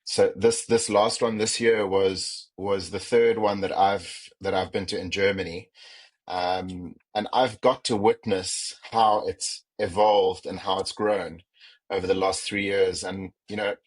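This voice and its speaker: A male podcast host with a low, slightly husky voice. He talks in an affirming, upbeat way during the interview. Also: fairly even intonation, unhurried, poor audio.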